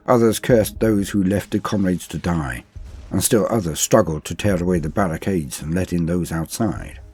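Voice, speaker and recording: A man giving a grave, theatrical reading of a dramatic story in deliberate phrases. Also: low voice, darkish tone, breathy edge. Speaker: narrator.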